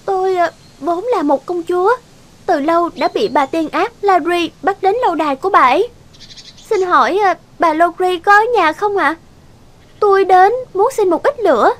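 A woman voicing a gentle, timid young princess in a cartoon fairy tale, polite and hesitant. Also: extremely high pitch, normal speed.

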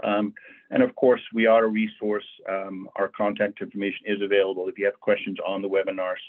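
A man's voice: lowish voice, flat delivery, a little fast. A man from the Netherlands, presenting a friendly banking webinar with lots of fillers over a video call. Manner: friendly, conversational with frequent fillers. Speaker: bank financial educator. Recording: tinny phone-like audio.

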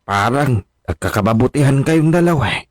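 A man's voice: medium pitch, lively intonation, fairly quick, no room sound, natural voice. An older actor playing a teasing, affectionate elderly father in a radio drama. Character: ailing elderly father, teasing, eager for grandchildren.

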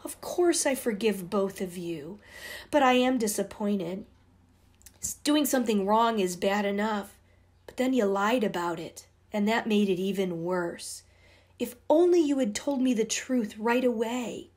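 A woman voicing a patient older brother who gently reproaches his sisters. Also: medium pitch, lively intonation, measured pace, lightly acted. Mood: gentle.

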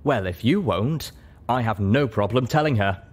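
A man's voice: medium pitch, animated, moderate pace, breathy edge. Man playing a stern elderly female pony commander. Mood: scornful.

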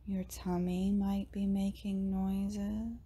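A woman leading a guided meditation in a soft, breathy, often near-whispered voice, gently soothing, with long pauses between short phrases. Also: medium pitch, level tone. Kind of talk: guided meditation, slow and hushed.

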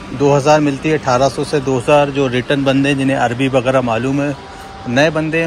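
A man's voice: mid-range voice, a little mellow, slight background noise, low-quality recording. A young man walking viewers through a large indoor supermarket, talking casually to them on a handheld phone. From India. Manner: conversational, keeps saying "friends" to viewers. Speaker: vlogger. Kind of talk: walking tour vlog.

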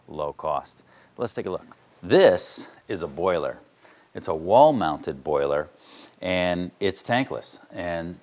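A middle-aged man leading an explanatory on-site tour as a home energy contractor. He talks in an earnest, plain-spoken way, with frequent "uh" fillers. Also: low-pitched. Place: indoors in a wood-walled barn and its concrete basement.

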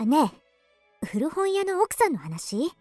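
Lively, gossipy café waitress. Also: female voice, high-pitched, slow pace, no echo, faint music behind, full character voice. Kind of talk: radio drama acting.

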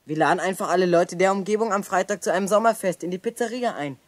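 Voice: mid-range voice, fast talker, lightly acted. A performer playing a resourceful, confident youngster who leads a group of friends in a radio play. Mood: scheming, confident.

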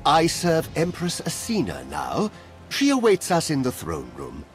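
Pompous, swooping government minister. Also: male voice, on the high side, huge pitch swings, a little slow, soft background music. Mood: haughty. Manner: pompous, officious. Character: pompous, touchy male imperial government minister. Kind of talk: dramatic game acting.

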